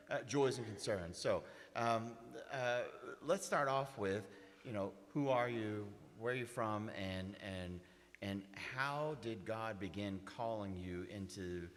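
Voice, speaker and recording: A man with a low voice leads a church group, moving from prayer into relaxed, rambling questions for his guest. The recording carries the long reverb of a church hall. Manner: easygoing, meandering questions. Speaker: class leader and host. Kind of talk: prayer, then leading a group discussion. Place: church meeting room.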